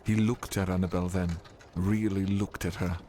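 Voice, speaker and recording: Clear ambient noise sits behind a man with a low voice. He narrates a fantasy audio drama in a scripted, dramatic storytelling style. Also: little pitch movement, moderate pace, mellow.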